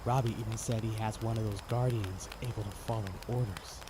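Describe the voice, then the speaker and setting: A man playing a young knight in a fanmade audiodrama, quiet and dutiful, speaking in a subdued, earnest, measured way. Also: on the low side, steady tone, background noise, lightly acted. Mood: gentle, caring. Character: young male knight, quiet, burdened, dutiful, gently caring. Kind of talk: audiodrama character acting.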